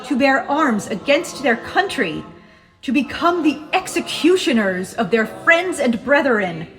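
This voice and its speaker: A woman's voice: high voice, sing-song, a little slow, faint music behind. A woman reading a historical document aloud as a community reader.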